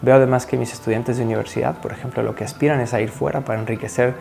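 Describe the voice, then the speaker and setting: A man with a Mexican Spanish accent, a researcher speaking in a measured, articulate way in an interview. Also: mid-range voice, steady tone, gravelly, a little mellow, faint music behind. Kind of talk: interview answers.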